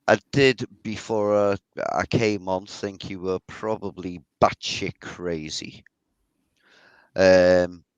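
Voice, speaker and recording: A man with a Liverpool accent, co-hosting an online interview in a relaxed, joking way. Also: on the low side, smooth, dry recording. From Liverpool, England. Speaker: co-host.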